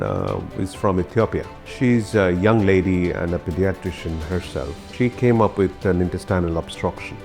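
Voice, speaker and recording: Low-voiced surgeon, a man. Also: a little mellow. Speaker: consultant gastrointestinal surgeon. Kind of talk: explaining a case to camera.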